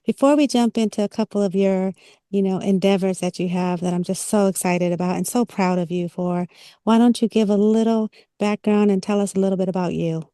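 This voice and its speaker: Mellow woman hosting, dry close sound. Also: mid-range voice, fairly quick, no room sound. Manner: affirming, effusive. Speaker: interview show co-host. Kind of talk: casual interview hosting.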